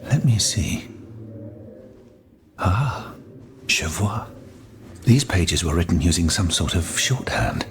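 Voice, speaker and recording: A man voicing a courtly, fatherly older French astronomer in a video game, fussy and expressive, with a French accent. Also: low-pitched, slow pace, long pauses, slight background noise, exaggerated voice. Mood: genial, thoughtful. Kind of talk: scripted video game cutscene dialogue.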